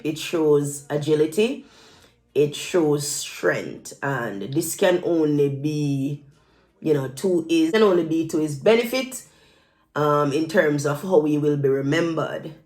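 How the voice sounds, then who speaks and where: A woman's voice: low voice, a little mellow. A woman from Jamaica giving casual political commentary, conversational and repeating phrases for emphasis.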